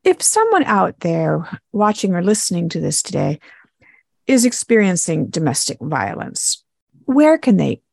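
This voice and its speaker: Lively, gravelly-voiced woman interviewer. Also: lowish voice, a little slow, no echo, studio quality. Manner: encouraging, brief affirmations. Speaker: interviewer, host. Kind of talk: interview questions.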